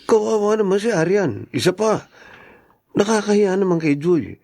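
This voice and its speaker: Pleading son, high lively voice. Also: male voice, normal speed, vocal fry, exaggerated voice. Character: pleading, worried young man, dutiful son. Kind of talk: radio drama acting.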